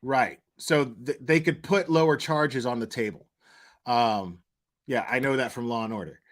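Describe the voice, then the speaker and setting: A man's voice: mid-range voice, normal speed, fairly bright tone. A man hosting a live reaction stream with casual commentary, speaking bluntly and informally with some swearing.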